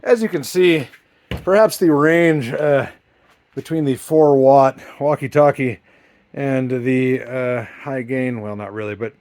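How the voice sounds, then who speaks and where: Male hobbyist presenter, medium pitch, casual show-and-tell delivery from a home garage, drily wry. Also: takes it slowly, darkish tone. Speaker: presenter, junkyard parts hobbyist. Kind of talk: casual hands-on demonstration narration.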